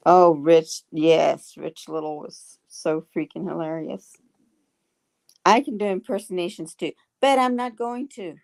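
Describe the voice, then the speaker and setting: A woman chats with her viewers in a slow, unhurried way. Her voice has a gravelly, creaky edge, and it is turning hoarse. Her manner is folksy and friendly. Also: on the low side, a little mellow. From Texas. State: getting hoarse. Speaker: livestream host. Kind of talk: casual chat reading viewer comments.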